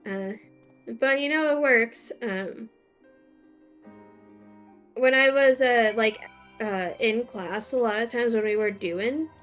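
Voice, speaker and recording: A young woman chats through a game stream, slow and halting, with plenty of fillers and false starts. Her voice sits a little high, and faint music plays behind her. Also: a bit bright. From United States. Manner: hesitant, many fillers and restarts. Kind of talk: casual chat.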